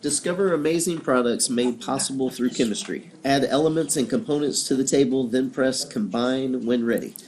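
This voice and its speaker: A man in a workshop reading game instructions aloud in a steady, even voice. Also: mid-range voice, normal speed, hardly any pauses. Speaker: workshop participant.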